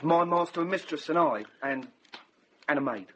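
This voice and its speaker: Actor as high-voiced, diffident young manservant. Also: male voice, moderate pace, lightly acted. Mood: guarded, deferential. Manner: hesitant, deferential. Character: young manservant, diffident, guarded, faintly resentful. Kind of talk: radio drama acting.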